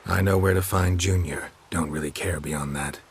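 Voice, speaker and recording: An actor playing a stoic, dry-witted monster hunter in a fantasy game, terse and deadpan. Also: male voice, low voice, normal speed, a little hiss or hum. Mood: curt. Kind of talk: scripted fantasy game acting.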